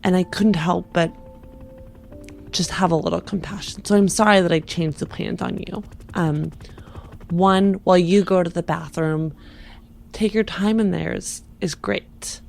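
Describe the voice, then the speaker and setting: A woman playing a polite, compassionate wife who hopes to adopt, gentle and hesitant, in a tabletop game. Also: on the low side, fairly even intonation, measured pace, vocal fry, faint music behind. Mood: hushed, apologetic.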